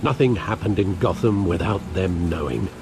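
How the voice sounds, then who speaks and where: An actor playing a loyal elderly male butler, speaking in a measured, formal, grave way. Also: deep voice, noisy background. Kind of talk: video game cutscene acting. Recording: game audio with rain ambience. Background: rain and ambience.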